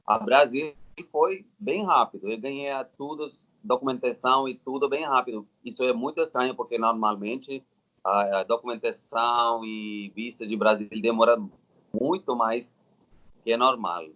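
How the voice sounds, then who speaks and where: Indian guy in his 30s w indian accent telling his story, halting simple phrases, kinda muffled lo-fi sound. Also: mid-range voice. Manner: halting, non-native Portuguese with simple phrasing. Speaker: interview guest. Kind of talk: casual personal storytelling. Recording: dull, lo-fi audio.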